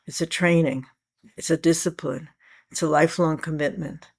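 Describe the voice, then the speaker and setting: A woman spiritual teacher with a scratchy voice today, giving a calm, measured, reflective talk to a meeting. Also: deep voice, gravelly, breathy edge.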